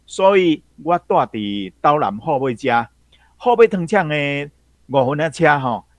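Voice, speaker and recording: A man's voice: medium pitch, no echo. A man with a Taiwanese accent talking informally as he explains something.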